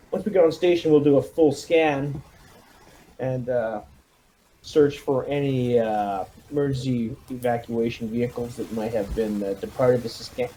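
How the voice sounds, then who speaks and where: A man's voice: medium pitch, dark tone, slight background noise, rough recording. A man leading a squad in a tabletop roleplaying game, briefing it with military jargon and command phrasing over an online call.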